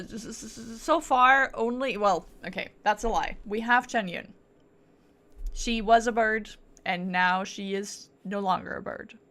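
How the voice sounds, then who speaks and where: A woman's voice: mid-range voice, creaky, a bit bright. A woman chatting casually as she streams a game, thinking aloud and correcting herself in a playful, rambling way. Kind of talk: casual livestream chat while gaming.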